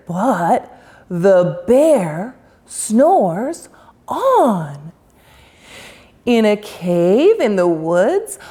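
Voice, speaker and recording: Woman reading a bedtime story, very slow, sing-song rhyme, lively rising and falling voice. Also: fairly high pitch. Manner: slow, sing-song, drawn-out rhyming delivery. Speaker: children's author reading aloud. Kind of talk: expressive read-aloud storytelling.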